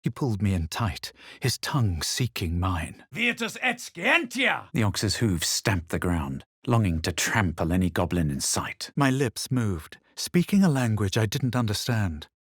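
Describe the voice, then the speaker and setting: A husky fantasy game hero, sure he is better than you. His lowish voice swings widely in pitch with measured, superior drama. The recording is close and dry. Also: very animated, no room sound, full character voice. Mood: commanding incantation. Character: smug, self-assured adventurer hero of a fantasy game. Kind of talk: video game voice lines, narration and spell calls.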